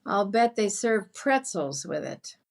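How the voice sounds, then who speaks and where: A woman's voice: medium pitch, sing-song, slow pace, gravelly, heavily acted. An actress playing a wry, wisecracking female companion in old-time radio comedy style.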